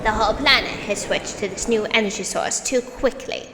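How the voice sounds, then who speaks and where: A woman's voice: medium pitch, normal speed, natural voice. An actress playing an insistent, skeptical woman challenging officials in an audio drama, pointed and firm. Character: insistent, skeptical female challenger at press conference. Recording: echoey hall, background noise.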